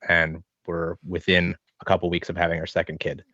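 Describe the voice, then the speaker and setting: A man in his thirties with an American accent, answering interview questions in a relaxed, thoughtful, rambling way. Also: sits low, brisk, no echo. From American Midwest. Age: 30s. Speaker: podcast guest, online fitness coach. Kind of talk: casual interview chat. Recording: dull lo-fi sound.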